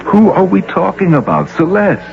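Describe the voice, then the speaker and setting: An actor playing an earnest, lovestruck middle-aged businessman in a radio drama, halting and emphatic, with a gravelly American voice. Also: high-pitched, expressive, music in the background. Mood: candid, puzzled. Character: earnest, lovestruck wealthy businessman, awkward yet insistent suitor. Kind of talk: old-time radio drama acting. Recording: dull hissy radio drama recording with hum.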